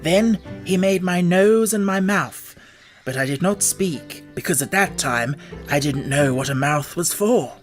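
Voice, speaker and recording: A man's voice: high voice, lively intonation, unhurried, faint music behind. A man voicing an earnest, simple-hearted scarecrow made of straw, telling things in a storybook reading. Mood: proud.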